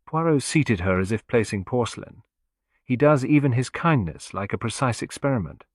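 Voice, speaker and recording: A man reads a scripted mystery as an audiobook narrator. His voice sits at a medium pitch, with a measured, literary delivery. The recording is dry and close. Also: animated, dry recording.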